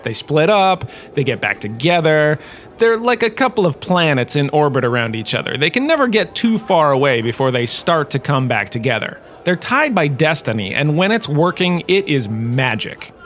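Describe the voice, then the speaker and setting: A man playing a self-regarding, jokey professor giving a scripted comedic lecture with theatrical storytelling pauses. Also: fairly high pitch, sing-song, a bit bright. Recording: clearly noisy background ambience.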